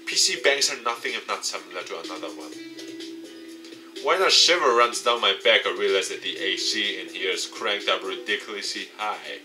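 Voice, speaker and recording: A man's voice: fairly low pitch, normal speed, over music. A man reading a visual novel game aloud as its host, in a dramatized narrating style.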